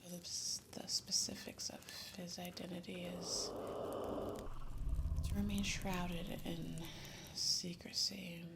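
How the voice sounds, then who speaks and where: A woman playing a gentle, reserved young dragon rider in a whispered ASMR fantasy roleplay, breathy and hesitant, with formal old-fashioned phrasing. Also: on the low side, little pitch movement, slow. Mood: confiding, hesitant. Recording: loud ambient noise over whispering. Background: campfire and night nature ambience.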